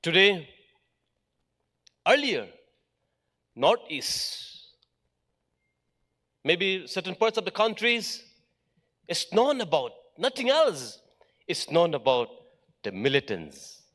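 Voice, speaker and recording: Animated man giving a speech. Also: high voice, slow, pauses often. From Meghalaya, India. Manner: emphatic, lists points in short phrases. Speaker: official speaker at an education event. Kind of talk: formal speech to an audience.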